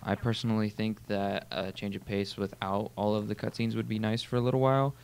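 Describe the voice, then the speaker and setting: A man with a lowish voice hosts a casual video game discussion. His intonation stays flat as he frames questions for his guest, and faint noise sits behind him in the recording. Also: a little fast, creaky. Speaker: host asking questions. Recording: noticeable background noise.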